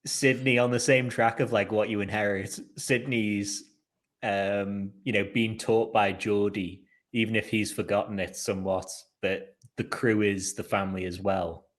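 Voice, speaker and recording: Young guy podcast cohost, kinda low voice, rambly and jokey. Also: little pitch movement. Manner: laid-back, rambling with fillers and quick jokes. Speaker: podcast co-host. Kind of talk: casual chat reviewing a TV episode.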